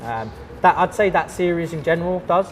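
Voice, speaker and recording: A man, an artist and teacher chatting casually in an interview, relaxed and full of fillers and restarts. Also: fairly high pitch, a little fast, noisy background. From London.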